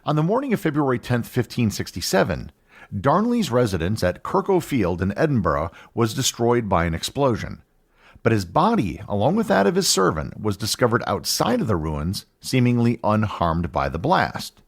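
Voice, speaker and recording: Guy reading a history podcast script, pitch swings all over the place, measured delivery. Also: big pitch swings, vocal fry. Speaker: podcast host.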